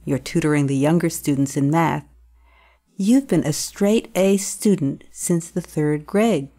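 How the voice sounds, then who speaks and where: A woman acting as a concerned, probing school staff member in an audio drama, with a measured, coaxing manner. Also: sits low, mellow.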